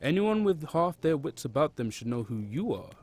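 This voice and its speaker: A man's voice: on the high side, very animated, a little mellow. Cryptic tavern watcher, as a man plays him. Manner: cryptic, slow and measured, asks leading questions.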